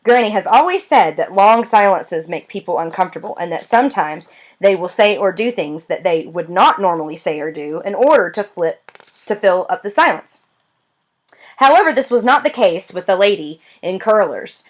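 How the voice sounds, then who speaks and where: A woman reading a children's novel aloud with expressive storytelling. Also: mid-range voice, normal speed. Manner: expressive storytelling with lively voice changes. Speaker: reader.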